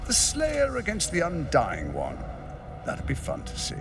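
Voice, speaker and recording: A man's voice: a little high, huge pitch swings, a little slow, creaky, distant mic, over music, exaggerated voice. A man playing a smug, mocking tyrant schemer in a video game, with a polished, sardonic and commanding delivery. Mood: taunting. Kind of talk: video game dramatic acting.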